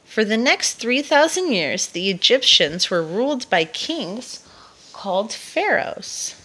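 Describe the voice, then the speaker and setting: A woman's voice: a little high, sing-song, slow pace, sharp tone, breathy edge, rough recording. Woman reading a picture book aloud. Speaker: storyteller reading to a child.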